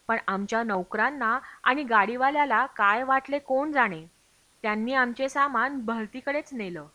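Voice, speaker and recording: A woman's voice: fairly high pitch, sharp tone, no room sound. A woman reading a travel memoir aloud as scripted audiobook narration. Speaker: audiobook reader.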